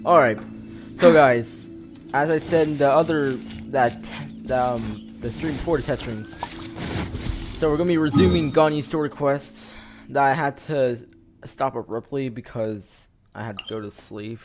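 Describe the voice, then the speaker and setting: A man's voice: medium pitch, unhurried. A young man casually commentating a live game stream, rambling and often repeating himself. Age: teenager or young adult. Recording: game music over the voice. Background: game music and sound effects.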